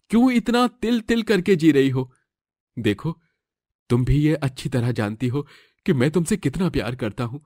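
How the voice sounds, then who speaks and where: A man's voice: on the high side, darkish tone, dry recording, clean studio sound. A man voicing an earnest, lovestruck young son of a village chief, emotional and pleading, in a moral-story cartoon.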